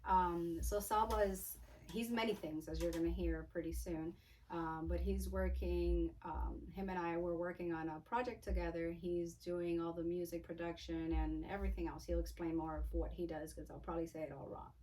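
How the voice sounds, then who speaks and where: A woman's voice: lowish voice, fairly even intonation, normal speed, dark tone, recorded from a distance, low-quality recording. A woman hosting a podcast, enthusiastic and encouraging as she introduces her guest and asks questions. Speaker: podcast host. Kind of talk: casual interview hosting.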